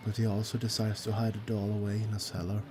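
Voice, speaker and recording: Flat-voiced man narrating. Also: lowish voice, fast, background noise. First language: Swedish. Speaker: YouTube film analyst. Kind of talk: scripted narration. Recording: voice-over with guitar music behind.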